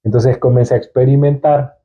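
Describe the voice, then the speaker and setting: Salvadoran dude chatting on a podcast, lots of false starts, mid-pitched voice, sounds like over a phone. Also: male voice, steady tone, brisk, warm tone, dull audio. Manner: chatty, hesitant restarts, laughs often. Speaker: guest entrepreneur. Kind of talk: casual podcast chat.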